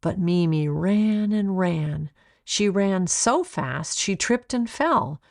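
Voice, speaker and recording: Slow, low-voiced woman narrating a story. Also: gravelly. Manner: deliberate, expressive storytelling. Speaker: author reading own book. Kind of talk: scripted children's story narration.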